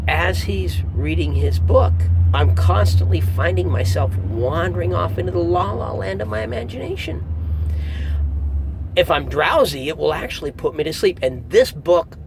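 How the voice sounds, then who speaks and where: A middle-aged man giving a casual spoken review in a conversational, emphatic way, pausing for effect. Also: gravelly. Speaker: audiobook reviewer. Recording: faint low rumble, like vehicle noise.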